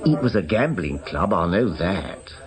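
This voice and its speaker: Very deep, gossipy British caretaker. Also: male voice, sing-song. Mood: chatty. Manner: rambling, confiding, unhurried. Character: gossipy working man, chatty clinic caretaker. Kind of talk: naturalistic radio drama acting.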